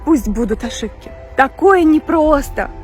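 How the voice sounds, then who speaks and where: A woman reciting a poem in a measured, lyrical way. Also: high voice, expressive. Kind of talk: poetry recitation. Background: soft music.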